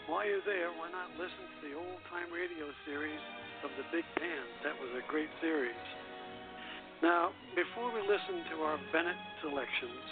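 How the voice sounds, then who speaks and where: A man co-hosting a nostalgic radio show, reading scripted narration from notes with a husky voice. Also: fairly high pitch, moderate pace, over music. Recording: narrow, tinny phone-like line.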